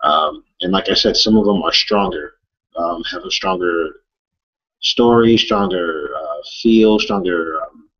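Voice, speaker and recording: A man with a fairly low, somewhat husky voice co-hosts a casual film discussion. He talks haltingly and often searches for words. The recording is dull and lo-fi. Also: fairly bright tone. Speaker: movie review co-host.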